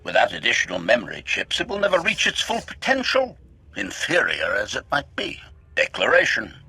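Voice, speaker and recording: Haughty, harsh metallic assassin droid. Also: male voice, mid-range voice, huge pitch swings, moderate pace, pushed and bright, breathy edge, no room sound, heavily acted. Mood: scornful. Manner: announces each sentence type, formal and clipped, condescending. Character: arrogant, sardonic assassin droid with a contemptuous streak. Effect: robotic metallic voice filter. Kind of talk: video game voice acting.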